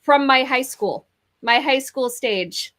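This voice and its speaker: New york lady hosting a live chat, slightly high voice, chatty and jokey. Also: slow, a bit bright. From New York, United States. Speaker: show host. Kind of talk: casual live interview chat.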